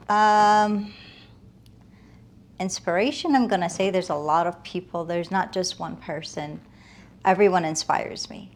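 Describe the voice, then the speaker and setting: A woman giving reflective, earnest interview answers in a conversational way, often building emphasis with short listed phrases. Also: medium pitch. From United States, moved from Afghanistan as a toddler. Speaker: interview guest, realtor.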